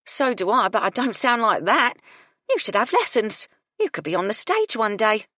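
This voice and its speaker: A woman's voice: fairly high pitch, sing-song, moderate pace, bright, forceful tone, dry recording, clean studio sound, voice pushed up, exaggerated voice. A woman voicing a brash, bossy young kitchen maid who scolds bluntly and swears freely. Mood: grudging kindness.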